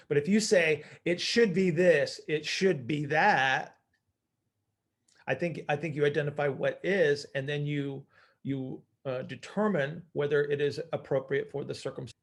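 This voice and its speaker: A man leading an informal group call as coach, teaching emphatically by posing questions and answering them himself. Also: fairly high pitch. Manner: emphatic, asks and answers own questions, often checks with "right?".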